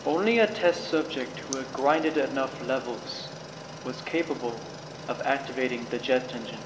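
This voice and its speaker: A man acts out an old male scientist being questioned in a game. He is grave and fanatical, speaking with deliberate drama in an echoing room over a clear background noise. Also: mid-range voice, lightly acted. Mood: grave, intense. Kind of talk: amateur community game-mod voice acting.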